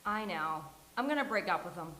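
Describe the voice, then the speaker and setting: A woman playing a frank, dramatic, neurotic sitcom woman at a table read, speaking with deliberate emphasis. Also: mid-range voice, lively intonation, bright, forceful tone. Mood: resigned. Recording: hissy, roomy live recording.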